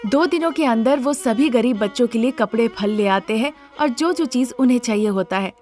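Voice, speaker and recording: A woman's voice: a little high, normal speed, music in the background. A woman reading a scripted family story as its narrator.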